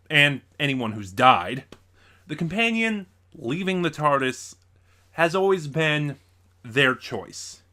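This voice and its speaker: A man giving a casual, unscripted ranking review as a video reviewer, thinking aloud in short, halting bursts. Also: mid-range voice, sharp tone. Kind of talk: casual review monologue ranking audio drama stories.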